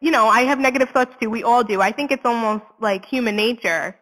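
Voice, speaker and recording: A woman's voice: on the high side, brisk, a bit bright. Young woman in echoey reverb. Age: 20s. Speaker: interview guest, suicide prevention advocate. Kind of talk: candid interview talk.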